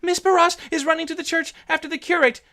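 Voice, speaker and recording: A man's voice: fairly even intonation, fast, pushed and bright. A man voicing a trembling, anxious young servant girl in a storybook reading. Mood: frightened. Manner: high, anxious.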